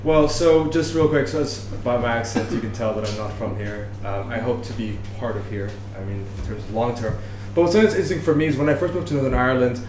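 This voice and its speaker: A man's voice: medium pitch, fast talker, few pauses, darkish tone. Echoey man from Lebanon on a panel. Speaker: panelist, researcher of street art. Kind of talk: panel discussion, thoughtful spoken answer. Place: bar venue, live audience discussion. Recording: echoey room, background noise, live event.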